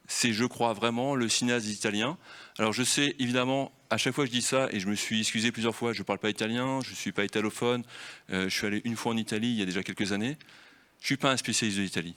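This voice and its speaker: A man's voice: on the low side, fairly bright tone. A man leading a festival round table on film, talking freely and explaining through rhetorical questions.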